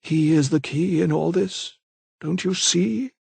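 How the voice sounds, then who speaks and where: A man voicing a zealous, menacing old vicar who speaks in a slow, unctuous, preaching manner, in a dry, close audiobook reading. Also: fairly high pitch, vocal fry, darkish tone, slightly rough, dry recording, voice pushed up, full character voice. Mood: sinister.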